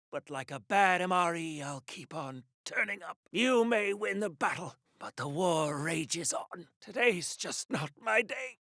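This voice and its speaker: An actor playing a cocky, melodramatic patriotic superhero from the 1940s, theatrical and corny like an old radio serial, for a video game. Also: male voice, high-pitched, bright, forceful tone, no room sound, studio quality, heavily acted. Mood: dying, melodramatic. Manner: theatrical, declamatory, corny wisecracking.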